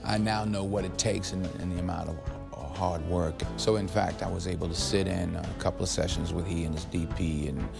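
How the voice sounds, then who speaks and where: Gravelly deep-voiced man, earnest. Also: steady tone, music in the background. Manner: earnest, halting with repeated words. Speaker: actor interviewee. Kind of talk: behind-the-scenes interview.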